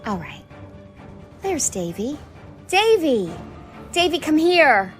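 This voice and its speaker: A woman's voice: high-pitched, very animated, takes it slowly, faint music behind, full character voice. A woman playing a folksy village mother in a radio drama, coaxing in a sing-song way. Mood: sweetly coaxing. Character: folksy village mother, sweetly coaxing yet chillingly eager.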